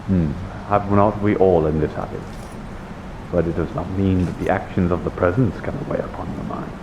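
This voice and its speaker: Man as a low-voiced abbey clergyman, muffled. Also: steady tone, moderate pace. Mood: calm, counseling. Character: calm, counseling medieval abbey clergyman, male. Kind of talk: improvised fantasy roleplay. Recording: dull, noisy online voice chat.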